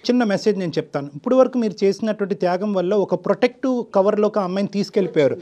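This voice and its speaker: A man's voice: high-pitched, expressive, rapid-fire, mellow. A man giving earnest, emphatic counselling advice at length on a talk show, mixing in English phrases. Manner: emphatic, long-winded, mixes in English phrases.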